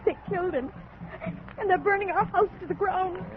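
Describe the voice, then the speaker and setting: High-voiced, earnest woman in radio melodrama. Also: normal speed. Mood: shocked. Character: distraught, earnest young woman on haunted plantation. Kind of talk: 1940s radio melodrama acting. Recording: tinny, noisy old radio recording.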